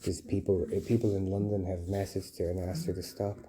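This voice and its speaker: A man in his early forties with an Irish accent, giving a personal account in a halting, repetitive, pleading way. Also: sits low, steady tone, a crowd in the background. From Dublin, Ireland. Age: early 40s. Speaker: interviewee being assessed. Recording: faint background noise with clicks.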